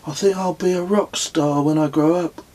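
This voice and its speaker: Young boy of nine, played by a male narrator, dreamy and blunt, lifted pitch, husky edge, for audiobook dialogue. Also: steady tone, normal speed, dark tone, natural voice. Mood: musing, curious. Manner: questioning, musing. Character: nine-year-old boy, curious, dreamy, bluntly unimpressed son.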